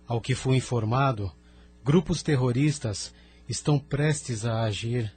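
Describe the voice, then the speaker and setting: A man with a Brazilian accent playing a courteous, grave spirit envoy in an audio drama, formal and measured. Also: mid-range voice, darkish tone, husky. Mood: grave, urgent.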